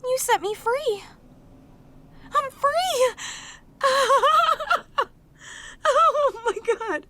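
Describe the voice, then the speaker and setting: A woman playing a sweet, clingy demoness in a romantic audio roleplay, speaking tenderly and haltingly with intense devotion. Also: extremely high pitch, expressive, noisy background, exaggerated voice. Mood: overjoyed, tearful. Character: sweet, devoted, clingy yandere demoness, long sealed away.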